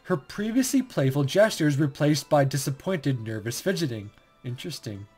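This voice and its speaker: A young man with a high voice hosts a visual novel let's play. He is informal and keen, moving between casual commentary and slightly stumbling narration. Music plays behind him. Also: lively intonation, moderate pace, darkish tone, slightly rough. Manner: informal, enthusiastic, stumbles over reading. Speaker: gaming channel host.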